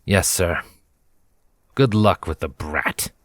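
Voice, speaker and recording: A gruff pony soldier as a male storyteller plays him, low and grumbling. He is irritable and impatient yet deferential, hissing his words in a dry-sounding storybook reading. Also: takes it slowly, fairly bright tone, no room sound. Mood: grudging. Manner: low angry hiss, grumbling. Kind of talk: storybook character voice in fan fiction reading.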